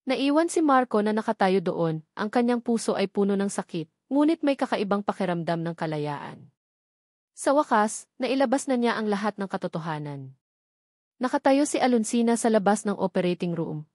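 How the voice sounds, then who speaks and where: A woman's voice: medium pitch, animated, normal speed, a little mellow, dry recording. Filipino woman narrating, close dry sound. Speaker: story narrator. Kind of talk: scripted narration of a romance drama story.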